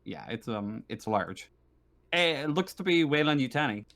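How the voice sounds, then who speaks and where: A man's voice: mid-range voice, lively intonation, normal speed, a bit bright. A man improvising narration as a tabletop game master, hesitantly, often stumbling and repeating words.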